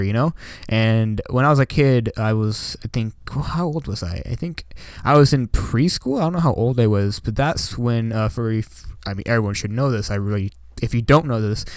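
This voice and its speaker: Young man, from Washington State, vlog host, casual rambling storytelling, smooth clear voice, dry sound. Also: on the low side, no echo. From Washington State, United States. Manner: rambling, with many "like" and "you know" fillers. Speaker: channel host.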